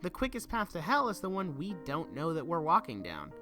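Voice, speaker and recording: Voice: mid-range voice, wildly swooping pitch, a little fast, sharp tone. A young adult giving an earnest, reflective scripted narration for a video essay. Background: background music.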